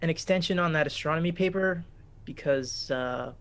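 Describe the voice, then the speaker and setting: A man's voice: a little high, normal speed, a bit bright. An actor playing an awkward, sheepish teenage male student in a radio-play style reading. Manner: hesitant, sheepish.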